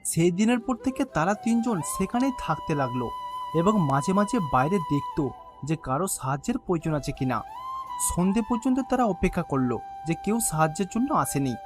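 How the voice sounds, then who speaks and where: A male storyteller with a rather high voice narrates a children's tale. He reads scripted lines in bedtime-story style, with faint music behind him. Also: very animated, a little fast.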